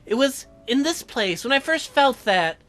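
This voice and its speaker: A man's voice: extremely high pitch, big pitch swings, normal speed, a bit bright, faint music behind, pitched above the performer's own voice, full character voice. A man voicing a sweet, apologetic teenage girl, reading visual novel dialogue aloud. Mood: wistful.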